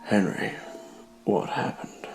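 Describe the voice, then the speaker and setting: An actor playing a frail, earnest, stubborn old businessman who speaks haltingly and pleadingly in a radio play. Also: faint music behind, close to an everyday voice, poor audio. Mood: anxious. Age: older.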